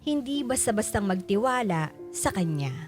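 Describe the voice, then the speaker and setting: Actress as reflective wife narrating over music. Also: female voice, mid-range voice, sing-song, moderate pace, close to an everyday voice. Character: wary wife narrating her own story. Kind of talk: scripted radio drama narration.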